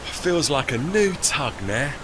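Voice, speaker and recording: A man's voice: on the high side, expressive, takes it slowly, noisy background. A man in an audio play acting as a loyal, bashful working tugboat with blunt, colloquial speech. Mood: reassuring. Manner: colloquial, blunt, rough grammar.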